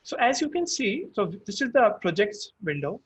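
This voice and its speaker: A man's voice: high voice, big pitch swings, moderate pace, breathy edge. A man with an Indian accent and Hindi as his first language, giving a webinar product demo, explaining step by step with frequent restarts. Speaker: product presenter.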